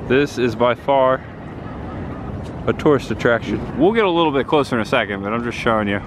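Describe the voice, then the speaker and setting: A man in his thirties narrating a casual walking tour to his camera, chatty and easily excited as he points things out. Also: medium pitch, brisk. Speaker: vlogger. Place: busy city park and streets outdoors. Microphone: handheld camera at arm's length. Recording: loud crowd and street noise outdoors. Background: crowd chatter and traffic.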